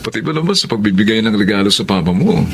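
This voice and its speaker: An actor playing a patient, sensible older male cousin in a Filipino radio drama, teasing and gently chiding. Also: on the low side, big pitch swings, fast, noisy background. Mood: teasing, practical.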